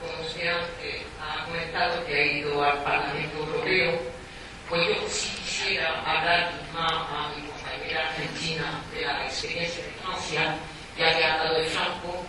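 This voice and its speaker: A woman with a French accent speaking as a guest in a studio debate, measured with occasional restarts. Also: low voice, fairly even intonation. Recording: echoey room from far off with noise.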